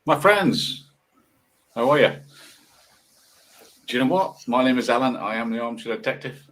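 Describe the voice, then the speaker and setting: A man in his sixties talks in a medium-pitched voice. His monologue is casual and rambling, moving haltingly through musings and rhetorical questions. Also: animated, a bit bright. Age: 60s. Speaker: video monologue host.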